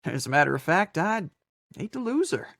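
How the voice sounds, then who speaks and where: An actor playing a fretful, folksy old frontier storekeeper in a radio western, hesitant and fussing, with rustic diction. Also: male voice, fairly high pitch, sing-song, moderate pace, creaky, fairly bright tone, no echo, studio quality, exaggerated voice. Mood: chatty, folksy.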